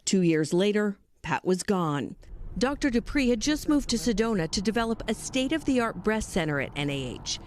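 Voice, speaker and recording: A woman's voice: medium pitch, sing-song, moderate pace, a little hiss or hum. A woman with an American accent reading a scripted news report as narrator.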